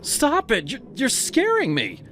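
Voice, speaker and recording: A man voicing a frantic eighteen-year-old college woman who shouts and pleads shrilly. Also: extremely high pitch, wildly swooping pitch, measured pace, a little hiss or hum, pitched above the performer's own voice, exaggerated voice. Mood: panicked.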